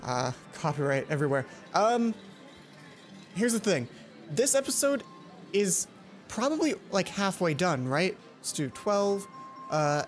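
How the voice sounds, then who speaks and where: A woman hosting a let's play, reading a visual novel aloud and dropping in chatty, playful comments. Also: lowish voice. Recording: music behind the voice.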